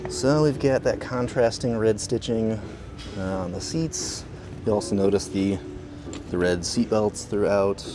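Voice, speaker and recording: A man, a car salesman, giving a casual walkaround tour of a vehicle at a dealership, talking in a relaxed, plain way with frequent fillers. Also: on the low side, a little slow, over music.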